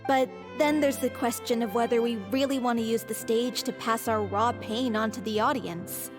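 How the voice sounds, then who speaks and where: A woman's voice: fairly high pitch, fairly even intonation, normal speed, close to an everyday voice. A woman voicing an earnest young theater troupe member in a game cutscene, explaining things over background music. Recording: game soundtrack music behind.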